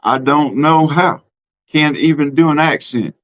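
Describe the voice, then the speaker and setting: A man's voice: fairly high pitch, measured pace, a bit bright, lo-fi sound. A middle-aged man from Mississippi with a Southern accent, chatting casually on his live stream and answering comments in a plainspoken, drawling way with many ums and restarts. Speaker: livestream host.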